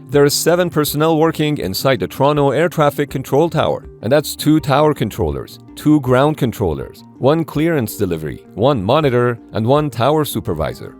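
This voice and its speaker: Guy doing scripted explainer voiceover, slightly high voice, measured, bit of music behind him. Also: a little mellow. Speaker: narrator of an explainer video. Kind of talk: scripted documentary-style narration. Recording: background music under voice.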